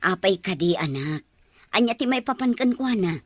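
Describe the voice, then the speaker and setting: A woman's voice: mid-range voice, animated, moderate pace, crowd noise, close to an everyday voice. A woman acting a questioning middle-aged mother with a Filipino accent in an Ilocano audio drama. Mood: suspicious, startled.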